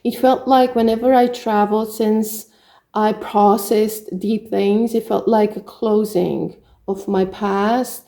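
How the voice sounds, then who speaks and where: Hungarian-accented woman, mellow voice. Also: mid-range voice, steady tone, takes it slowly. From Romania. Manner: earnest, listing in short phrases. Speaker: spiritual coach. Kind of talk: personal story monologue.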